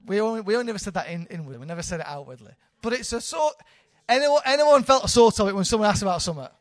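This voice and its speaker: A woman's voice: medium pitch, lively intonation, racing, no room sound, clean studio sound. A woman in her thirties, a church leader, giving an informal, candid sermon with self-deprecating humour. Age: 30s.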